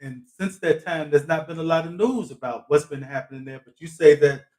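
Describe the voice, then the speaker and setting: A man's voice: fairly high pitch, steady tone, fairly quick, a little mellow. A man hosting a live talk show, interviewing a guest in a thoughtful way with frequent fillers. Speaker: host.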